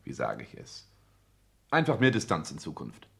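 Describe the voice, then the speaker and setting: An actor playing a cold, ambitious social climber in a 1960s German radio play, speaking in a clipped, pompous, formal and declamatory way. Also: male voice, rumbling low, wildly swooping pitch, normal speed, gravelly, a bit bright, full character voice. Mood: cold, calculating.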